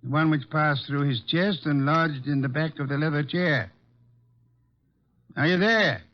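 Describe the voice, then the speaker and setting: An actor playing an imperious, impatient genius detective in an old-time radio drama, curt and sardonic, on a dull recording. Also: male voice, on the high side, a little slow, natural voice. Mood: probing, impatient.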